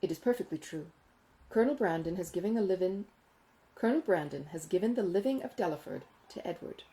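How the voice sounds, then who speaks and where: Woman reading as sensible young regency lady, calm and matter-of-fact, soft mellow voice. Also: fairly low pitch, fairly quick, rough recording. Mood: calm, firm. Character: composed, sensible young gentlewoman, politely firm. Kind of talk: acted audiobook dialogue from a Regency novel.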